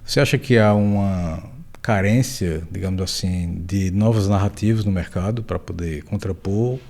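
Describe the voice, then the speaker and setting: A man with a Brazilian Portuguese accent who hosts the interview, asking his questions haltingly and hedging them. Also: deep voice, vocal fry, a little mellow. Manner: halting, hedges questions with "digamos assim". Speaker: interviewer, videocast host.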